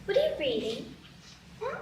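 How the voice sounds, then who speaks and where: A curious little girl acted by a woman speaks in a very high, childlike voice, persistent and full of wonder. It is live radio play acting, picked up from afar with echo and noticeable noise. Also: huge pitch swings, moderate pace, heavily acted. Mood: curious, pestering. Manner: questioning, childlike. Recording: echoey, distant stage pickup, clear noise.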